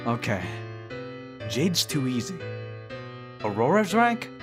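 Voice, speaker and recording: A man's voice: medium pitch, very animated, takes it slowly. A man playing a smug, teasing immortal thousands of years old in an audio drama, with a sardonic, needling manner. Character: teasing three-thousand-year-old dream maker, smug male immortal. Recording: voice under plucked guitar music.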